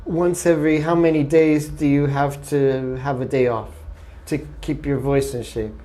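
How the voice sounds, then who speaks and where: A man's voice: mid-range voice, steady tone, moderate pace, mellow. A man interviewing a musician, asking brief, polite questions in careful English. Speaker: festival interviewer.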